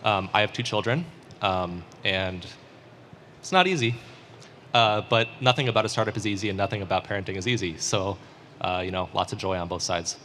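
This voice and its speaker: A man in his forties on a startup panel, speaking in an articulate, measured way. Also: low-pitched, sharp tone, slight background noise. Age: 40s. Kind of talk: conference panel discussion.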